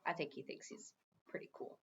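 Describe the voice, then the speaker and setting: A woman reading a story aloud as an expressive narrator, with informal asides to listeners. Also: a little fast, muffled recording.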